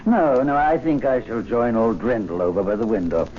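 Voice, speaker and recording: Man as a mellow, mocking haughty clubman. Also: medium pitch, animated, moderate pace, lightly acted. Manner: affected, sarcastic. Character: haughty, mocking, unbelieving club gentleman. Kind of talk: old radio drama acting. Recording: dull, faintly noisy radio recording.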